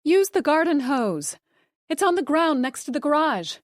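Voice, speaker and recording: A woman voicing a panicking wife who shouts and sobs in a high, strained voice. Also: sing-song, unhurried, no echo, clean studio sound. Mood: urgent, shouting. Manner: shouting, frantic, high-pitched. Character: frantic panicking woman, wife watching disaster.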